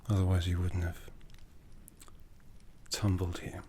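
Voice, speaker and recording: A man playing an ancient, jaded, aristocratic vampire in a breathy, half-whispered ASMR roleplay, haughty and seductive with long deliberate pauses. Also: low voice, monotone, dark tone, slight background noise. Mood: weary, disdainful. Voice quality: breathy, often dropping into whisper.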